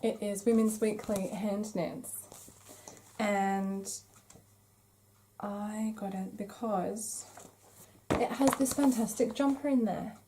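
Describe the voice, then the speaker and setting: A woman's voice: medium pitch, fairly even intonation, slow pace, long pauses, mellow, slight background noise, rough recording. A woman hosting a craft podcast, chatting casually and enthusiastically as she shows off her finds. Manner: enthusiastic, gushing. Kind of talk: casual vlog chat, showing craft magazines.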